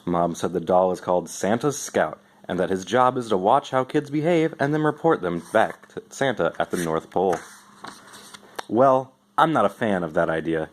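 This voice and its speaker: Man, lowish voice, dramatic storybook reading, expressive first-person storytelling. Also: normal speed. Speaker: amateur reader.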